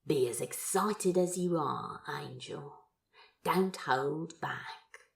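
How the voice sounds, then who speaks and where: A woman voicing an awkward, lovestruck, foul-mouthed man in a fan fiction audio drama, halting and rambling, with a husky, breathy voice. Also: deep voice, full character voice. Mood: tender, sincere.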